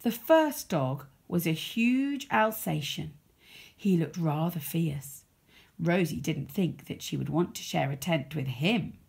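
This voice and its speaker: A woman reading a children's story aloud as a storyteller, expressive, with dramatic emphasis. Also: low-pitched, slow, vocal fry, breathy edge.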